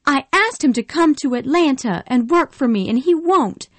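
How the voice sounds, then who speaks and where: A woman voicing an impulsive, emotional young Southern woman in a storybook reading, speaking in a high pleading voice. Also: animated, normal speed, no echo. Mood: upset. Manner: pleading and tearful, high and bright.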